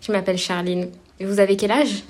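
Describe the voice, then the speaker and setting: A woman's voice: mid-range voice, steady tone, brisk, a little mellow. A woman asking simple, direct questions as an interviewer.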